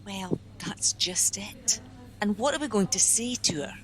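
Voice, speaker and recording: A woman's voice: mid-range voice, expressive, measured pace, natural voice. A woman playing a flustered, well-meaning helpline office worker in a naturalistic radio play, speaking hesitantly. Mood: confiding.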